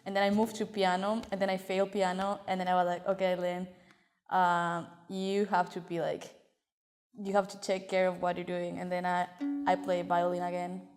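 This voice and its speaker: A woman's voice: fairly low pitch, monotone, moderate pace, darkish tone, faint music behind. An eighteen-year-old woman from Paraguay with a Spanish-speaking accent, casually telling her story in an interview. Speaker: interviewee, violinist. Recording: echoey hall reverb.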